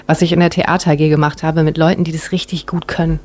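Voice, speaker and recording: A woman from Germany, an actress being interviewed, talking in a warm, rambling way full of fillers. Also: low voice, little pitch movement, quick pace. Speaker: actress guest. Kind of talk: casual interview chat.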